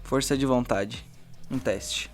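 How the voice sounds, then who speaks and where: A Brazilian man narrating a tabletop game as game master, in a deliberate, theatrical storyteller's manner. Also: mid-range voice, faint music behind.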